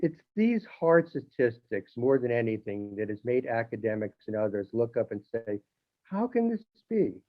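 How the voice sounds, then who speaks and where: A columnist man at a middle pitch, talking in a loose, chatty way that trips over its own words and adds dry jokes. The sound is narrow and thin, as if heard over a phone line. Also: big pitch swings, moderate pace. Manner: conversational, with restarts and wry asides. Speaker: newspaper columnist. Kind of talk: informal monologue.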